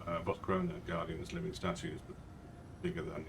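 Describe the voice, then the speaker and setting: A man's voice: low-pitched, very animated, moderate pace, gravelly. A man streaming gameplay live, reading along and reacting, recorded at a distance with heavy noise. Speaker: game streamer. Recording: very noisy, distant from microphone.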